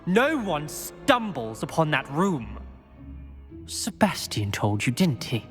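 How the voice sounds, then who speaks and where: A man's voice: a little high, very animated, slow, a bit bright, full character voice. A man voicing a suspicious, haughty teenage wizard schoolboy in a video game, with a clipped, accusatory, imperious manner. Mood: threatening. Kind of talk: dramatic video game dialogue. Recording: long reverb with music behind. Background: music.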